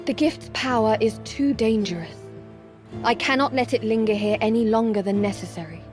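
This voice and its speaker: Formal, stoic warrior goddess played by a woman, slightly high. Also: over music, lightly acted. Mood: solemn, detached. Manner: formal, measured, detached. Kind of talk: video game cutscene acting.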